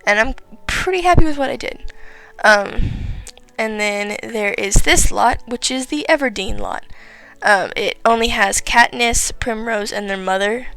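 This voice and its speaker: A teenage girl giving rambling, chatty commentary on her game late at night, her voice tired and raspy. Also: a little high, measured pace, a bit bright, no echo, poor audio. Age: teens. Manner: rambling, filler-heavy, chatty. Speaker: gaming vlogger.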